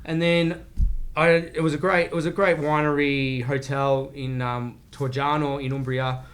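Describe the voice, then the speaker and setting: A man's voice: a little high, little pitch movement, fairly bright tone. An Australian man in his forties, a chef telling his career story as a podcast guest, rambling with frequent restarts. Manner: rambling, restarts often, speaks in quick bursts. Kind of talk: casual storytelling interview.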